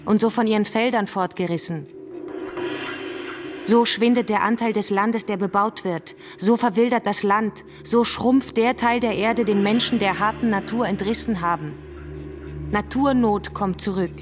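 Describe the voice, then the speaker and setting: An actress playing a militant, sharp and accusing revolutionary woman in a German radio drama, speaking in an insistent, declamatory way. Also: medium pitch, normal speed, natural voice. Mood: grave, insistent. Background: music.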